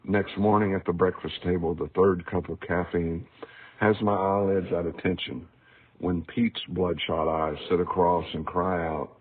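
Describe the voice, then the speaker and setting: Deep, husky man reading through tinny audio. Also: flat delivery, unhurried. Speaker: author reading a book excerpt. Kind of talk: scripted narration, audiobook reading of a memoir. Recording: tinny, phone-like narrow band.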